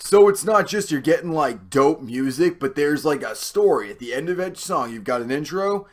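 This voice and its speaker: Hoarse, cursing male vlog host. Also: medium pitch. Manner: profane, excitable, rambling. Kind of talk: casual video rant.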